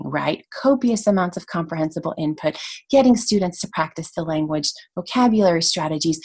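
A woman whose first language is Hungarian speaks as a podcast guest, explaining her work in language education. Her voice sits a little low for a woman, and she talks with enthusiasm and clear, well-organized phrasing. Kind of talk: conversational podcast interview.

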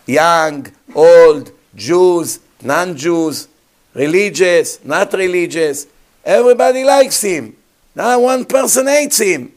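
Emphatic man preaching. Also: on the high side. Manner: emphatic, rhetorical questions to the audience. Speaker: rabbi giving a talk. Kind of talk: sermon.

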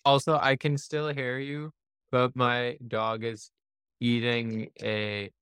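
A man from Pakistan by upbringing speaks in a smooth, clear mid-range voice with hardly any room sound. He hosts casually, wandering through asides and roundabout phrasing on the way to each question. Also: mid-range voice, flat delivery, slow, a bit bright, no room sound, studio quality. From born in the US, raised in Pakistan. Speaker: podcast host. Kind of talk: casual conversational interviewing.

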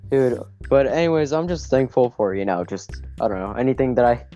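A man's voice: medium pitch, expressive, brisk, music in the background. A young man hosting a casual podcast chat, rambling and hesitant, laughing often. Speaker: podcast host. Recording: slightly dull lo-fi sound.

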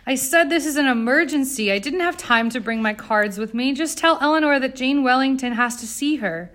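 A woman's voice: on the high side, moderate pace, fairly bright tone, voice pushed up, natural voice. A woman voicing an indignant, earnest young society woman from an audiobook, emphatic and accusing. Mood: urgent, insistent. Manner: emphatic, sputtering outrage. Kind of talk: audiobook character acting.

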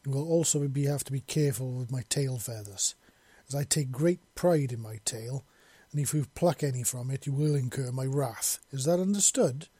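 A man's voice: medium pitch, normal speed, warm tone, breathy edge, dry recording, close to an everyday voice. A man voicing a curious, commanding female bird-creature mistress in an audiobook story, with formal and precise speech.

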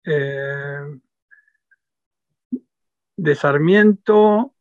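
An Argentine man with an Argentine Spanish accent, answering interview questions in a measured, emphatic way and repeating phrases as he thinks. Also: a little high, no room sound. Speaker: interview guest. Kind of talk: reflective interview talk. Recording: dull lo-fi dry sound.

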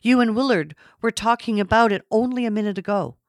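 Woman reading as a calm, patient man, dry. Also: on the high side, fairly quick, no room sound, pitched above the performer's own voice, close to an everyday voice. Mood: mild, patient. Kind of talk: audiobook character reading.

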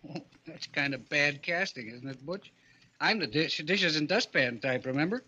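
An actor playing an exasperated, wisecracking husband woken at midnight, in old-time radio drama style. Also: male voice, on the high side, animated, moderate pace, pushed and bright, slightly rough, full character voice. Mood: teasing, puzzled. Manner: wisecracking, grumbling. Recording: muffled old radio recording.

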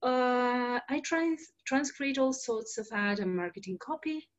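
A woman's voice: fairly high pitch, expressive, unhurried, muffled recording. A woman in her thirties from Argentina, a native Spanish speaker, hosting a solo podcast in an earnest, explanatory way with fillers and restarts. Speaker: podcast host.

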